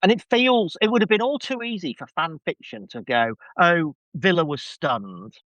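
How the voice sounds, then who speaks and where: Middle aged guy gushing on a podcast, kinda high voice, really swoopy, self-deprecating. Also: expressive, normal speed, fairly bright tone, no room sound, dull audio. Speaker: podcast guest. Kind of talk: casual enthusiastic review chat.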